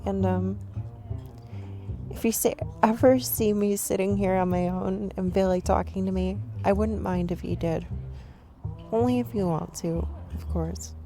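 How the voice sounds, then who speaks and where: A woman's voice: mid-range voice, steady tone, unhurried, dark tone, faint music behind, close to an everyday voice. A woman playing a shy, nervous young woman stranger at a cafe in an ASMR roleplay, rambling and second-guessing herself. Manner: rambling, self-conscious, overthinking.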